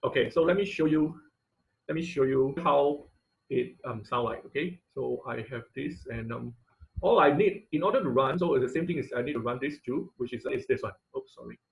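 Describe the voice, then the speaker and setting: A man's voice: mid-range voice, moderate pace, gravelly, rough recording. A man teaching a live online coding tutorial as instructor, often stopping and rephrasing mid-sentence. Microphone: computer mic on an online class call.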